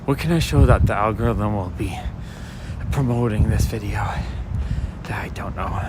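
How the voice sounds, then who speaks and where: A man with a raspy voice, chatting softly and casually to livestream viewers as he walks a city street at night, recorded on a handheld phone. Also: on the low side, normal speed. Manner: casual, chatty, talks to viewers while walking. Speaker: walking vlogger and livestreamer. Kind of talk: soft-spoken rambling walk-and-talk commentary. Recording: street noise and passing traffic. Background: city street ambience and vehicles.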